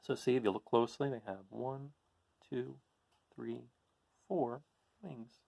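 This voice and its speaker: A man's voice: mid-range voice, fairly even intonation, unhurried, creaky, mellow. A man hosting a read-aloud, reading a children's picture book and adding casual, explanatory asides for young listeners.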